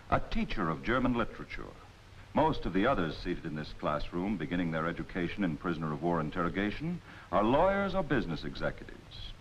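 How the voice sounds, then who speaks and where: An American man playing an authoritative, wry narrator of a 1940s military training film in a measured, rhetorical delivery. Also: on the low side, a little hiss or hum. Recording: muffled old film soundtrack with music.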